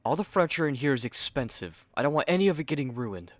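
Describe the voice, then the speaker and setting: Guy doing a snooty fussy young male manga artist, asmr roleplay, curt and precise, mid pitch, dry close sound. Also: big pitch swings, fast talker, no echo, natural voice. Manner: curt, haughty, articulate. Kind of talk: ASMR roleplay spoken to the listener.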